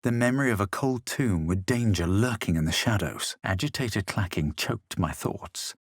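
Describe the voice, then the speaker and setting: A haughty male adventurer from a fantasy video game. His voice is low and husky. He speaks in a measured, dry way, as if he knows he is better than his companions. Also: animated, no echo. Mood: hushed narrating. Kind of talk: video game character lines and narration.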